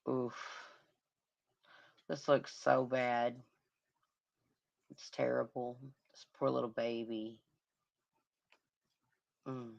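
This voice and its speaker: Hoarse woman host, heavy vocal fry, murmuring commentary, lo-fi stream sound. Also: very deep voice, level tone, drawn-out words, pauses often, darkish tone. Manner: murmuring, sympathetic, hums to herself. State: hoarse, losing her voice. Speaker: livestream host. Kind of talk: casual livestream commentary on a crime case.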